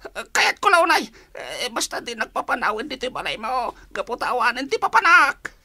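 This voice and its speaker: A woman's voice: high-pitched, very animated, normal speed, bright, forceful tone, no echo, full character voice. A woman playing a whiny, deferential young household helper in a comedy radio drama, with exaggerated pleading delivery.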